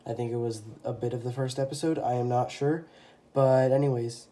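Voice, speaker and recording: A man's voice: medium pitch, steady tone, a little fast, dark tone. A young man with a stuffy, congested voice from a cold, casually showing off a custom toy figure with lots of ums and self-corrections. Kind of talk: casual show-and-tell. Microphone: phone camera.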